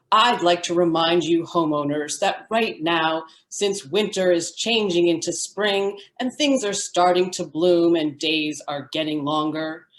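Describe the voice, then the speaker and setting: A woman with a slightly low voice announces a vintage-style radio thriller. She takes her time over each phrase, with dramatic swoops in pitch. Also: roomy sound. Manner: dramatic, deliberate delivery. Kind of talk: scripted old-time radio show narration.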